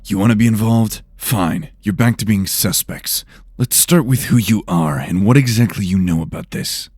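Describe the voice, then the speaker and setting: A man's voice: on the low side, normal speed, a little mellow, slightly rough, no room sound, studio quality. A man playing a brusque investigator of magical murders in a fantasy audio drama, blunt and demanding. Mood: irritated, demanding.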